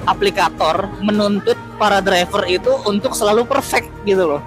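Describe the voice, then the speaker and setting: A man chatting casually as a motorcycle taxi driver, explaining things with halting self-repeats over a helmet intercom while riding. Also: high-pitched, fairly even intonation, music in the background. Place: outdoors, riding a motorcycle through city streets. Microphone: helmet intercom headset.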